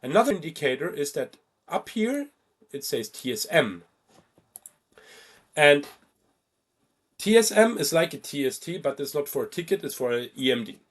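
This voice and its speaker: A man giving an informal step-by-step software tutorial as an instructor, halting at times and stressing key points by repeating them. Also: fairly high pitch, very animated. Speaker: travel booking software instructor.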